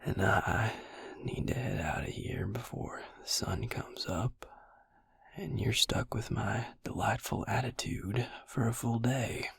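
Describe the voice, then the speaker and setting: A gruff but caring vampire healer played by a man murmurs close and dry in an intimate audio roleplay. His voice is very low and thick with gravel, often falling to a whisper. He is sardonic and teasing, with tenderness underneath. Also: takes it slowly, a bit bright, dry recording, exaggerated voice. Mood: tired, fond. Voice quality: breathy, husky, gravelly near-whisper.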